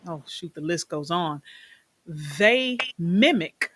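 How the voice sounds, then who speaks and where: A woman's voice: on the low side, expressive, slow pace. Chatty middle-aged woman hosting over noise. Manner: chatty and enthusiastic, stretches words for emphasis. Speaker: host. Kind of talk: casual interview chat.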